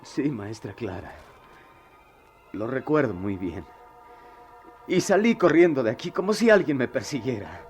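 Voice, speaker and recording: A man's voice: mid-range voice, very animated, a little mellow. Troubled, streetwise Mexican boy, music behind. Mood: anguished, ashamed. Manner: slangy, impassioned, halting when cornered. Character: troubled, proud, streetwise poor boy confessing with guilt. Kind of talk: radio drama acting.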